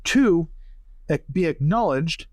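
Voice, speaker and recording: A man acts out a prim and orderly companion in a tabletop game. He speaks politely and precisely in a slightly high register, with a close, dry sound. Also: expressive, slow pace, darkish tone, no echo. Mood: methodical. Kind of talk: improvised in-character tabletop roleplay.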